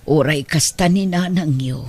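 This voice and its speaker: Husky Ilocano grandmother, acted by a woman. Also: sits low, measured pace, darkish tone. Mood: gentle, weary. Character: worn, protective Ilocano grandmother soothing her troubled daughter. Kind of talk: audio drama acting.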